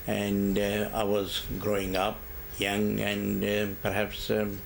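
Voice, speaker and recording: A low, gravelly voice from an older man who was born in India. He answers hesitantly, with many pauses, fillers and repeated words. His voice stays flat and slow, with a faint hiss of noise behind it. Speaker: interviewee. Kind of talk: oral history interview.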